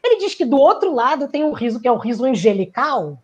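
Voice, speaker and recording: A woman's voice: sits high, big pitch swings, quick pace, breathy edge. A woman who works in theater giving a reflective talk, musing aloud, searching for words and acting out quoted lines.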